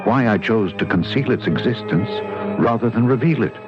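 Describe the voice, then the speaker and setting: An actor playing a polite, wary French policeman who narrates an old-time radio drama in a formal, deliberate, dramatic manner. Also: fairly low pitch, lively intonation, natural voice. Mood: tense, reflective. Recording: dull vintage radio recording with music.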